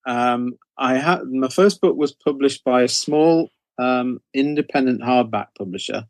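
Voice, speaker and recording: A man's voice: medium pitch, dry recording. A British man in his sixties telling anecdotes informally as an interview guest, often circling back on his phrases. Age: 60s. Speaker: interview guest, horror author. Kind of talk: conversational storytelling in an interview.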